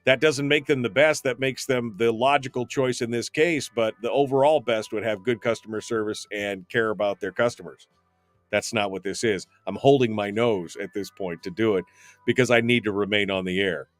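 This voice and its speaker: A man's voice: fairly low pitch, normal speed, no room sound, soft background music. A man hosting a talk radio show, reading listener comments aloud and answering them with opinionated, rambling remarks full of ums and restarts.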